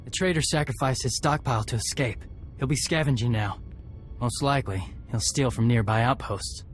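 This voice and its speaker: A man's voice: medium pitch, normal speed, close to an everyday voice. Man acting determined, clipped young warrior. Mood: resolute. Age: young adult. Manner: clipped, earnest. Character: determined, stoic young male Mandalorian warrior. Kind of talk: dramatic video game dialogue. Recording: faint game music behind the voice.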